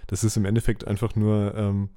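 Low, mellow man chatting casually. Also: monotone, a little fast. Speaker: podcast co-host. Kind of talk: casual chat.

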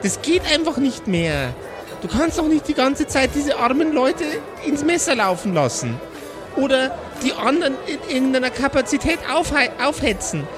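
A woman's voice: high voice, sing-song, normal speed, over music, crowd noise. A woman voicing a horrified old lady who reproachfully scolds her little dog in an improvised audio play. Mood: horrified scolding.

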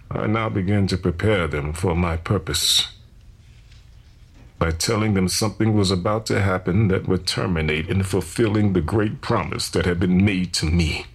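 An actor playing a solemn, visionary enslaved preacher in his thirties, confessing deliberately in scriptural phrases with a gravelly, husky voice. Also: sits low. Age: 30s. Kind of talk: dramatized first-person confession.

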